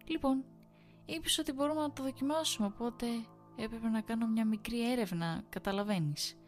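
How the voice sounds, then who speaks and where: A woman voicing a frantic young wife who turns shrill and tearful. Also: faint music behind, natural voice. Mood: flustered. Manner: shrill, breaking into sobs.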